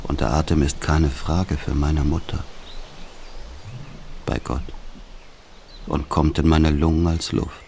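Very deep, gravelly brooding writer. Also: male voice, level tone, a little mellow, slight background noise, full character voice. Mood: solemn. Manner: solemn, measured, poetic. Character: brooding male writer recalling his childhood faith. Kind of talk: literary radio-play monologue.

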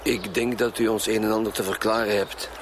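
A Flemish actor playing a stern, impatient homicide detective who questions bluntly in a radio drama. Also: male voice, lowish voice, steady tone, fairly quick, noisy background, natural voice. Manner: blunt, interrogating. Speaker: police detective.

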